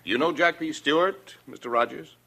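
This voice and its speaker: An actor playing a tough, businesslike homicide inspector with clipped official questioning in 1940s radio crime drama. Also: male voice, on the high side, moderate pace, gravelly, pushed and bright, heavily acted. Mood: probing.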